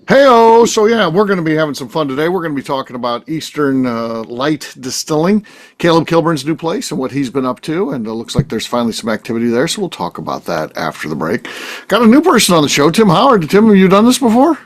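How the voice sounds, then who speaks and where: A man's voice: a little high, fast talker. A man co-hosting a podcast, reading sponsor spots and joking with guests in casual chat. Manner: lively, joking, reads sponsor ads. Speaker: podcast co-host.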